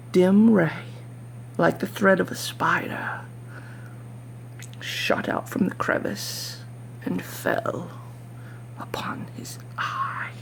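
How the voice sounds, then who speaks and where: A performer voicing a nervous, obsessive mad murderer in a dramatic gothic horror reading, with breathy whispering and halting, theatrical emphasis. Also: medium pitch, full character voice. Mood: hushed, stealthy.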